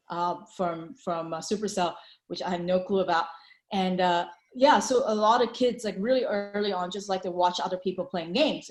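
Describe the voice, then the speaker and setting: A woman's voice: medium pitch, darkish tone. A woman talks through her slides on a video call, chatty and loose, full of fillers and restarts. Her voice sits mid-range, and a roomy echo hangs around it. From Hong Kong. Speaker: venture capital panelist. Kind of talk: presenting slides in an online panel.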